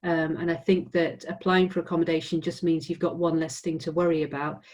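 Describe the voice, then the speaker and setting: A woman's voice: low-pitched, flat delivery, a little fast, darkish tone. A woman with an English accent, a university admissions representative giving an informational presentation with frequent ums and restarts. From England.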